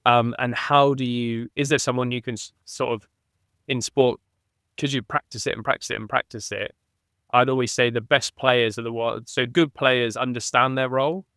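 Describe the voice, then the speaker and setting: A man who played rugby, a podcast guest reflecting conversationally and thinking aloud with restarts, getting animated on key points. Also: medium pitch, moderate pace, no room sound, studio quality. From England.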